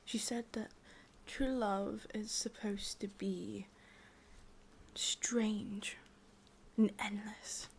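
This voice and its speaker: A woman with an English accent voicing a possessive, sadistic witch in a slow, breathy ASMR roleplay whisper. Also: medium pitch, flat delivery, slight background noise. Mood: confiding. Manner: slow, intimate, teasing. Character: sadistic, possessive witch, softly menacing and doting.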